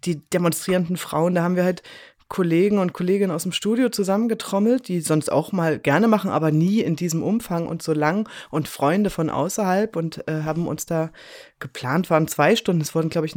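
A woman's voice: on the low side, fairly quick, a little mellow, no echo. Female radio drama director as interview guest, conversational storyteller, close dry recording.

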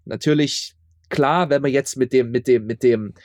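A man's voice: mid-range voice, moderate pace, darkish tone, no echo, studio quality. A man chatting casually as an interview guest, stammering over repeated words and tossing in ironic asides. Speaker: interview guest, audio drama producer.

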